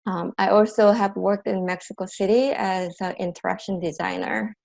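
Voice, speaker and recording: A woman's voice: medium pitch, steady tone, normal speed, gravelly, a little mellow. A woman in her fifties from South Korea with a Korean accent, talking in a casual interview, thoughtful, with many fillers and restarts. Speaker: massage therapist and dancer.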